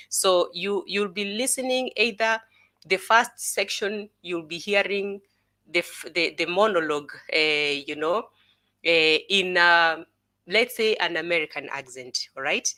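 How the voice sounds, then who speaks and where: A young woman talks through an exam as a tutor, her voice bright and forward, sitting a little low for a woman. She thinks aloud as she goes, filling pauses with "uh" and "you know", restarting phrases, and checking in with "okay?". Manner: frequent "uh" and "you know" fillers, repeats words, checks with "okay?". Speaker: IELTS tutor. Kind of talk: unscripted teaching explanation.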